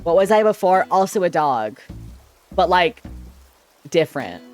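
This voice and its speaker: A man chatting casually on a livestream with a slightly shrill, sharp-sounding voice, rambling playfully and tripping over his words. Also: very animated, unhurried, faint music behind. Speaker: streamer. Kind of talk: casual livestream chat with viewers. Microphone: desk microphone.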